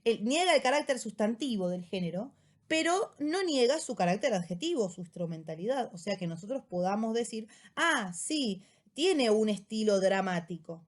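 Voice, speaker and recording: A woman's voice: fairly high pitch, animated, normal speed. Argentine woman explaining a reading. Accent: Argentine Spanish. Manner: explanatory, with frequent tag questions; casual rioplatense slang. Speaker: teacher. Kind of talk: recorded lecture summary.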